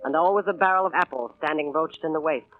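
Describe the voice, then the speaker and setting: Male actor as earnest youthful cabin boy, high-pitched, measured storytelling, old radio drama, muffled recording. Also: lightly acted. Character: earnest young cabin boy recalling his voyage. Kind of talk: 1930s radio drama narration. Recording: dull vintage radio, faint music behind.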